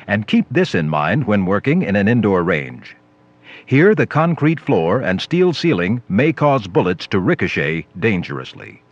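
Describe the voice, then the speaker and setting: A man's voice: fairly low pitch, big pitch swings, moderate pace. A man reading scripted instructional narration for a training film. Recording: dull lo-fi old videotape with noise.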